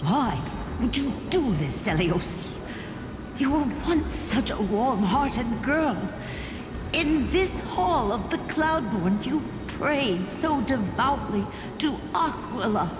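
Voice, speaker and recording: A woman's voice: on the high side, big pitch swings, takes it slowly. A woman playing a sorrowful, wary tribeswoman who speaks reproachfully in a solemn video game drama. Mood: pained reproach. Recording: echoey hall reverb under music.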